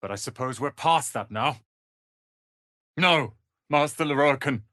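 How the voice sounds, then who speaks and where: A man voicing a proud, sharp-tongued young tiefling wizard's apprentice in a video game, with haughty, theatrical, biting delivery. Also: medium pitch, a little slow, no room sound, clean studio sound. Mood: grudging, sincere. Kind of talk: dramatic video game voice acting.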